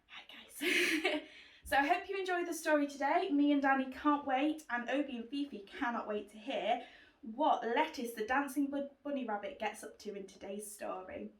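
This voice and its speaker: A woman's voice: high voice, moderate pace. A woman hosting a children's book club, chatting to young viewers and pets in a presenter's style. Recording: roomy echo, distant mic.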